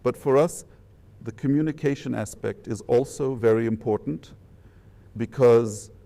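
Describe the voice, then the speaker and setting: Gravelly, mellow Polish-accented man. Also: medium pitch. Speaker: government minister. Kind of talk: measured diplomatic phone conversation.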